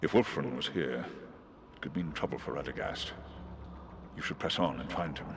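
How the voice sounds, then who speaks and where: Very deep, solemn great eagle. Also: male voice. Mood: grave counsel. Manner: measured, archaic, sage-like. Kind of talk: fantasy video game cutscene acting. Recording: clear background ambience noise.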